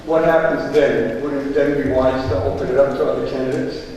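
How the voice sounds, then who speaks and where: A man's voice: mid-range voice, steady tone, a little fast, a little mellow. Man, floor question, plain manner, echoey hall. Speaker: state representative. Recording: dull, distant, echoey, noisy chamber.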